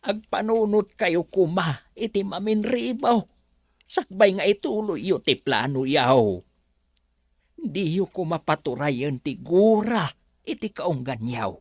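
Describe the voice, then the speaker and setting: An actor with a Filipino accent playing a humble, anxious servant in a radio drama, hesitant and deferential. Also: male voice, high-pitched, animated, takes it slowly, a little mellow, no echo, studio quality, exaggerated voice. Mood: pleading. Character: anxious, humble male servant pleading with his boss.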